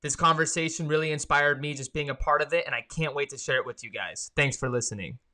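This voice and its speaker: Bright-voiced man introducing a podcast. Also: on the high side, fast. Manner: upbeat. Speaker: podcast co-host.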